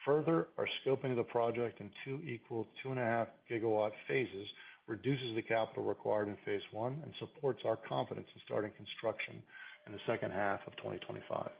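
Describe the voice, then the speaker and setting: A man reading a scripted earnings call presentation as a finance executive, in a formal, measured way. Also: on the low side, gravelly, slightly rough. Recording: slightly dull conference call audio.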